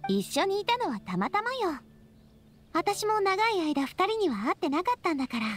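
A woman's voice: extremely high pitch, very animated, moderate pace. A woman playing a cheerful, confident young female boss in an anime game, breezy and feminine in manner. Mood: sincere, friendly. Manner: breezy, warm-hearted, feminine. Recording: background music behind the voice.

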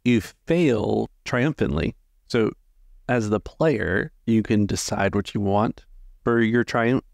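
Gravelly, low-voiced man running a game. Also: slow pace, no echo, studio quality. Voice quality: gravelly, creaky. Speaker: game master. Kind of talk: explaining rules and narrating a tabletop story.

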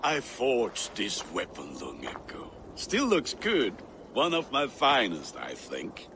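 Viking blacksmith, gruff, boastful, low, lively pitch, slow. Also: male voice, noisy background, heavily acted. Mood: admiring. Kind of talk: video game cutscene.

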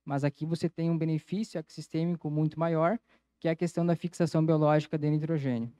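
Male lecturer, slightly high mellow voice, methodical explainer presenting at a conference. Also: fairly even intonation, fast, no echo. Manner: explanatory, methodical, frequent "então" connectives. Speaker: agronomy lecturer. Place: auditorium stage, indoors. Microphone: handheld microphone.

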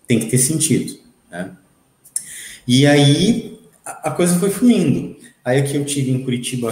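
A man's voice: medium pitch, roomy sound. A man, a guest telling rambling stories with plenty of fillers on a video call from home through an earphone mic. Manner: rambling, lively storytelling with many fillers. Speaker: interview guest, game writer. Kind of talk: casual video-call interview. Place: small room at home, indoors.

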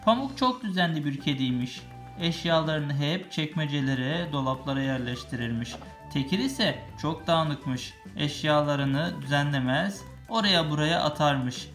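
A woman with a low voice reads a children's tale aloud. She moves between storybook narration and casual asides to a small child, explaining as she goes. Music plays behind her. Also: lively intonation, a little slow. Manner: explains and chats with the child while reading. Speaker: parent reading a children's story to a young child.

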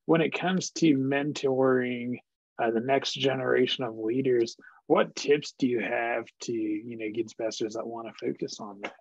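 A man's voice: medium pitch, normal speed, vocal fry, dry recording. A young man hosting an interview on a video call from an office, affirming his guest and filling with "you know" as he asks questions. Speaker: interview host. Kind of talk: casual interview. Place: office with bookshelves, indoors. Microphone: video call webcam. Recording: dry, dull webcam audio.

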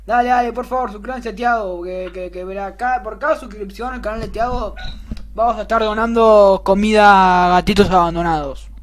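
Young male vlogger, Rioplatense accent, higher register, informal and repetitive delivery in a bedroom. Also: fairly even intonation, a little fast, slight background noise. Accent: Rioplatense Spanish. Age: teens to young adult. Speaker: host of a prank-call video. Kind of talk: casual chat to followers and a caller. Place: small bedroom, indoors.

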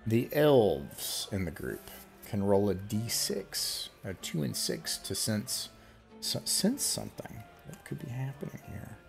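A man's voice: fairly low pitch, sing-song, slow, vocal fry, faint music behind. A man with a scratchy voice narrating as game master in an online tabletop game, casual and hesitant. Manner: casual, hesitant, many fillers. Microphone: video call webcam.

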